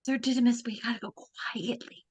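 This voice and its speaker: A woman playing a high, earnest teenage heroine. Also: fairly even intonation, normal speed, darkish tone, slightly rough, dry recording, dull audio. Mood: hushing. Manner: earnest, urgent. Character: determined teenage girl on a rescue quest. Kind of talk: amateur dramatic reading. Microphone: video call.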